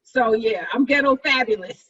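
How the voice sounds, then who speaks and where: A woman's voice: fairly high pitch, moderate pace, a bit bright. A woman chatting informally and emphatically as a cast member on a group video call. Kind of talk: casual chat. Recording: dull, distant video-call audio.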